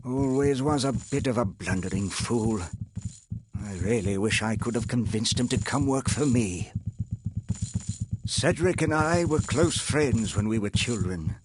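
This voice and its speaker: Rich older inventor type from a video game, husky voice, proper wordy speech, proud but kinda haunted. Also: male voice, medium pitch, animated, unhurried, no room sound. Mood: proud, businesslike. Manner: formal, articulate, wistful storytelling. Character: wealthy older male industrialist inventor, proud, haunted, courteous. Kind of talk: scripted video game dialogue.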